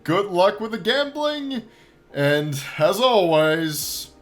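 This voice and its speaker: A man hosting a gaming channel, hoarse and tired, talking his viewers through a game feature in an upbeat, encouraging way. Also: high-pitched, very animated, takes it slowly, faint music behind. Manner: upbeat and encouraging, with frequent laughs and throat clearing. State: tired after a long stream. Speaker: YouTube gaming channel host. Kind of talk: casual gameplay commentary to viewers.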